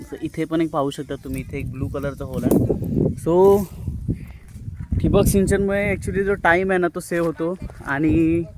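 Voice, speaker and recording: A young man chatting casually and teasingly to his vlog audience, switching languages. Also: on the high side. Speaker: vlogger. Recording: clearly noisy outdoor handheld recording.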